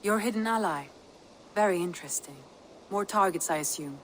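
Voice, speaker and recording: An actress playing a calm, cautious advisor to a warrior in a video game, speaking in a measured, thoughtful way over faint background noise. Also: female voice, medium pitch, lightly acted. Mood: calm, wary. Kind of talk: dramatic video game dialogue.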